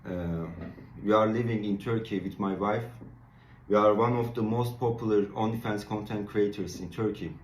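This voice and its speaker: A man in his twenties with an Iranian accent makes an earnest, halting appeal for support. His voice is low, and he is recorded in an echoey room. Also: fairly even intonation. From Iran. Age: 20s. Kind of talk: appeal in a social media video.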